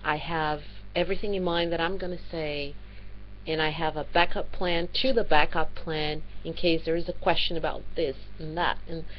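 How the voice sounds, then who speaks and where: A woman in her thirties, a language teacher, talking to camera in a candid, loosely rambling vlog. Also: fairly low pitch. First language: not Norwegian; speaks English, Portuguese and Spanish. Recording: slightly dull lo-fi audio with faint background hum.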